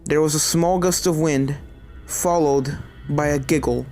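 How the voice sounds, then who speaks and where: A woman's voice: sits low, fairly even intonation, a little slow, mellow. Woman narrating dramatic fan fiction over music. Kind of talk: dramatic scripted story narration.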